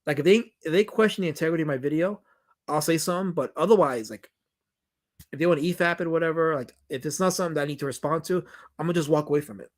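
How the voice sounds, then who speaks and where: A man's voice: fairly high pitch, very fast. A man with a New York accent hosting a livestream Q&A, rambling and emphatic as he answers viewers' questions. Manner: rambling and emphatic, with many restarts and repetitions. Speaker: documentary director hosting a livestream Q&A. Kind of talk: casual livestream chat, reading viewer comments.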